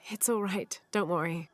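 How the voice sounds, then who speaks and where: A woman playing a determined, kind young adventurer in a video game, speaking calmly. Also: medium pitch, slow, no echo. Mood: calm, resolute. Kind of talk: video game dialogue acting.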